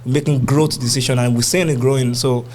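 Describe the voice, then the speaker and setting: A man's voice: medium pitch, fairly even intonation, fast talker, a little mellow. A young man with a Nigerian accent talking casually and colloquially as a musician in a podcast interview. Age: young adult. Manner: colloquial, uses "you know what I'm saying".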